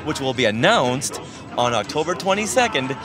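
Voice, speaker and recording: A man's voice: mid-range voice, big pitch swings, moderate pace. A man hosting a podcast in a casual, chatty co-host style. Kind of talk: casual co-hosted podcast chat.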